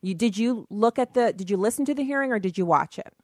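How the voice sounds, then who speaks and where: A woman's voice: medium pitch, lively intonation, quick pace, a little mellow, no echo. A woman hosting a call-in radio talk show, firm and argumentative as she pushes back on callers. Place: radio studio.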